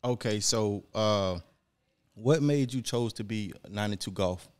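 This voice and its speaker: Male podcast host, lower voice, smooth and clear, easygoing interviewer at a close desk mic. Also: measured pace. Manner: laid-back conversational questions. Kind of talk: casual interview. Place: small studio room. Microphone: desk mic on boom, headphones.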